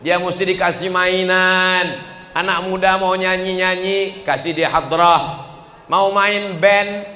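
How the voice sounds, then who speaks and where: A man in his forties giving a lively sermon to a crowd, asking rhetorical questions and swinging between loud and hushed delivery. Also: sits high, takes it slowly. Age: 40s. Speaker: preacher. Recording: echoey hall with faint ambience.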